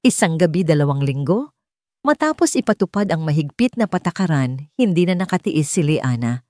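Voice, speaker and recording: A woman narrating a scripted dramatic story in a measured, earnest storytelling manner. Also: sits low, big pitch swings, darkish tone, no room sound, clean studio sound.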